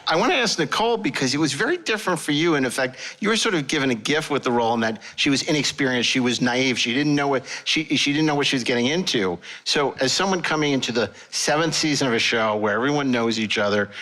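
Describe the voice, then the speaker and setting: Male podcast host, mid-pitched, bright forceful voice, wordy conversational interviewer. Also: animated, quick pace, few pauses, rough recording.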